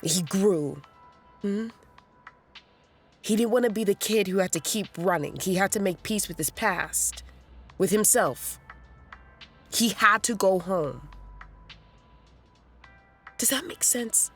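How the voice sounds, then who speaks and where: A young American woman playing a restless, self-searching young woman in an audio drama, halting and conversational. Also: medium pitch, breathy edge, soft background music. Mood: earnest, searching. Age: young adult. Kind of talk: naturalistic audio drama acting.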